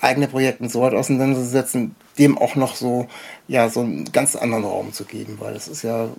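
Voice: medium pitch, little pitch movement, fast talker, vocal fry, a little mellow, slightly rough. A podcast host chatting casually in an interview, enthusiastic and rambling, often hesitating mid-sentence.

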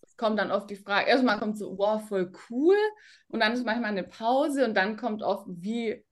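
Lively woman guest telling stories. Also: mid-range voice, moderate pace. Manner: lively storyteller, acts out quoted reactions. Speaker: guest, opera singer and coach. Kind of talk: casual interview chat.

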